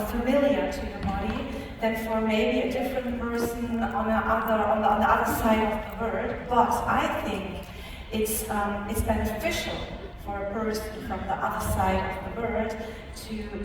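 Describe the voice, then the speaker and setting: Hesitant woman in an echoey hall. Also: fairly high pitch. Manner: hesitant, many fillers and restarts. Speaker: panel speaker at a product training. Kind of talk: live panel answer with fillers. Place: large conference hall. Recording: echoey hall, speaker far from mic, noisy.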